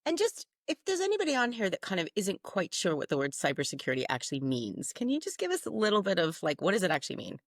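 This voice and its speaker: A woman's voice: mid-range voice, huge pitch swings, fast, hardly any pauses, no echo, clean studio sound. A woman hosting an interview in an upbeat, enthusiastic way, asking friendly questions. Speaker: interviewer and host.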